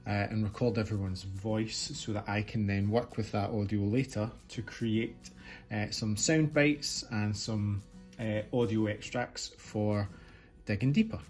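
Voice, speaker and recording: A man's voice: on the low side, a little mellow. A man working as a sound designer, explaining his work in an interview style.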